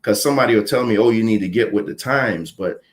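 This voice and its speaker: A man's voice: fairly low pitch, fast. A man in his thirties giving blunt opinions in a casual panel chat, often repeating words as he starts a point. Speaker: podcast panelist.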